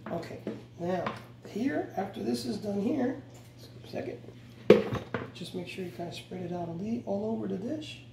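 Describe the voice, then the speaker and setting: A middle-aged man walks viewers through a home recipe in a relaxed, instructive tone. He often corrects himself as he goes. He is recorded by a camera across a bright home kitchen, so the sound is roomy, distant and carries background noise. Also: high voice, normal speed, vocal fry, long pauses. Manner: relaxed, instructive, self-correcting, repeats "go ahead and". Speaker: home cooking show host. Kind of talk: casual step-by-step cooking demonstration. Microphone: camera mic from across the kitchen.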